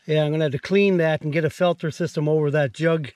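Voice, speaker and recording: A man's voice: a little high, steady tone, quick pace, a little mellow, no room sound. An older man from Newfoundland with a local accent, talking plainly through his cabin chores into a handheld camera outdoors. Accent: Newfoundland English. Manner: plain, matter-of-fact explaining of chores. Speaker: outdoor vlogger. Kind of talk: casual vlog narration. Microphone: action camera held at arm's length.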